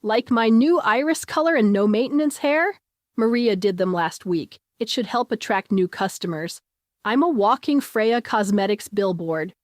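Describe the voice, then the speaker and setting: A woman's voice: medium pitch, normal speed, no echo, studio quality, lightly acted. A woman voicing a vain, chirpy male receptionist in an audiobook story. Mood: chirpy, pleased.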